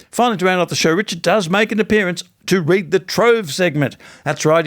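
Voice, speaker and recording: An Australian man hosting and interviewing in a casual, chatty, rambling and tongue-in-cheek way. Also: on the high side. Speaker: podcast host and interviewer.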